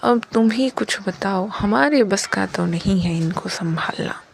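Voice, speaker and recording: A woman's voice: medium pitch, a little slow, vocal fry, a little hiss or hum. A woman voicing an exasperated, scolding village mother in a children's moral story cartoon.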